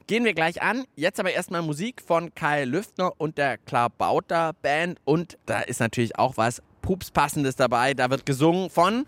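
A man co-hosting a science show for children in a lively, chatty way. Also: a little high, normal speed, hardly any pauses, no echo. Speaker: children's science podcast host.

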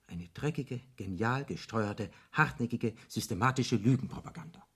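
An actor playing an eloquent devil in a radio drama, arguing in emphatic, elaborate rhetoric as a persuasive tempter. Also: male voice, medium pitch, moderate pace, husky. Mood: emphatic, indignant.

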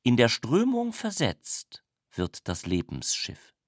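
Literary narrator, measured and solemn, slow pace, widely varied intonation, dry close sound. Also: medium pitch, wildly swooping pitch, no echo. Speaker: reader. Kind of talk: literary reading, scripted narration.

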